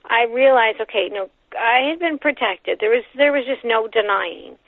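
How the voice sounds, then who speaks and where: A woman from Canada, a guest author, talking over the phone in a soft, reflective way, with many pauses and fillers. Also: on the high side, no room sound. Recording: tinny phone line.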